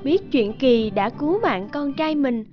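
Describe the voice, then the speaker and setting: A woman's voice: sits high, lively intonation, measured pace, lo-fi sound, faint music behind. A woman giving scripted fairy-tale narration for a children's cartoon.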